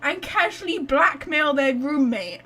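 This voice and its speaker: A woman's voice: sits high, animated, a little slow, bright, forceful tone. A woman giving casual, playful, reactive commentary while playing a game. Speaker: game streamer.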